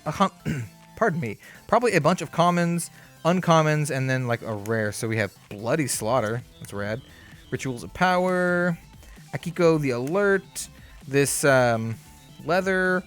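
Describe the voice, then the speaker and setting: A man hosting a card game channel, chatting casually through an unboxing, easygoing and upbeat with lots of fillers, his voice a bit hoarse. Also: mid-range voice, fairly bright tone. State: slightly hoarse.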